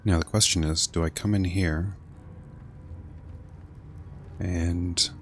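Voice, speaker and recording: A man's voice: sits low, fairly even intonation, slow, a little hiss or hum. A man giving casual live gameplay commentary as a streamer, rambling and thinking aloud. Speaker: game streamer.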